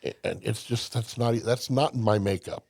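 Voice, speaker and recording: Low, gravelly older man from Indiana. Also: steady tone, normal speed, a little mellow, no room sound, clean studio sound. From Indiana, USA. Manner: folksy storyteller, emphatic, with restarts. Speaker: podcast guest. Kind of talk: casual conversation.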